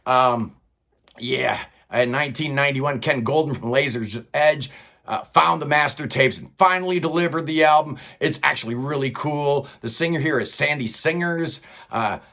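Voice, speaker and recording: Older male host, slightly high voice, enthusiastic casual countdown patter, home studio. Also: normal speed, sharp tone. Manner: enthusiastic, loose and chatty, with fillers. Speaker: host of a prog rock channel. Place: record-filled home studio room.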